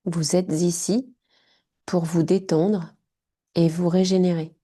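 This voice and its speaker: A woman guiding a yoga nidra relaxation in short, hushed, soothing phrases. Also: on the low side, level tone, slow, breathy edge. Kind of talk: guided meditation.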